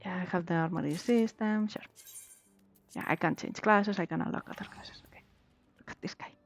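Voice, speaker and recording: A woman's voice: lowish voice, fairly quick, gravelly. A woman whose first language is Valencian/Catalan, chatting casually as a streamer while playing a game. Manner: chatty, laughs easily, reads game text aloud. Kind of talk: casual chat while gaming. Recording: slightly dull lo-fi stream audio. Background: faint game music.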